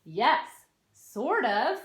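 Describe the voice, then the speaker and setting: High, animated woman reading aloud, echoey room. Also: extremely slow. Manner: expressive, questioning, stresses and draws out key words. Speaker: teacher reading a non-fiction book aloud to children. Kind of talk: children's read-aloud with explanatory asides. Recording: roomy, echoey sound.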